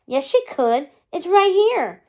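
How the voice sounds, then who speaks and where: A woman voicing an excitable, impulsive young girl in a storybook, full of exclamation. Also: very high voice, takes it slowly, pitched above the performer's own voice, heavily acted. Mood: defensive.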